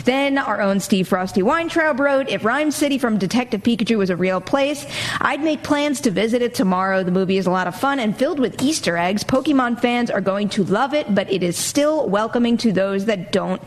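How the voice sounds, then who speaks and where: A woman with a fairly high voice hosts a casual entertainment news panel. She sounds enthusiastic and conversational as she presents items and puts questions to her guests. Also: lively intonation, a little fast. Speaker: host of a movie news panel show.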